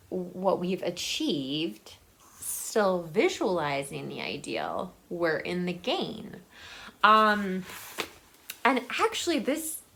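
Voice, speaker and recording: A woman talking casually and unscripted as a book vlogger, in a halting way, thinking aloud and rephrasing as she goes. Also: medium pitch, a bit bright.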